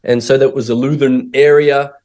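A man's voice: medium pitch, flat delivery, fairly quick, a little mellow. A middle-aged Australian man, a missionary pastor, telling his life story in an interview with many fillers and restarts. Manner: hesitant, full of fillers and restarts.

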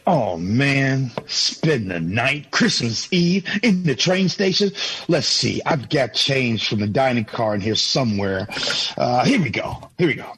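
A man's voice: mid-range voice, lively intonation, unhurried, fairly bright tone, breathy edge, exaggerated voice. An actor playing a stubborn, exasperated 1950s family man in an old-time radio drama, full of folksy muttering and exclamations. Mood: muttering, resolute.

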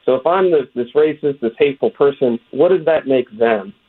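A man's voice: mid-range voice, brisk, husky. A man from West Virginia, a comedian being interviewed, earnestly recounting a conversation with quoted back-and-forth. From West Virginia, USA. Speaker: guest, comedian. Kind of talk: phone-in interview. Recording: tinny phone line.

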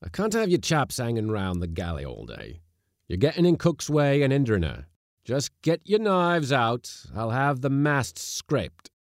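A man's voice: mid-range voice, big pitch swings, normal speed, darkish tone, no echo, clean studio sound, pitched above the performer's own voice, close to an everyday voice. A man voicing a stiff, self-important ship's captain in a storybook, commanding and trying to sound dignified. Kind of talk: storybook character voice.

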